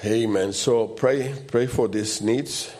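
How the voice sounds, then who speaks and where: A man with a loud voice and preaches in an informal, conversational way, repeating short phrases for emphasis. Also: on the low side, measured pace. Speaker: preacher leading a church service.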